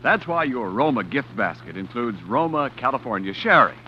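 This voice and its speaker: Man, vintage radio announcer, smooth sales pitch, lively pitch, muffled old broadcast. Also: moderate pace, a little hiss or hum. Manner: polished, salesman-like. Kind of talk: 1940s radio sponsor announcement.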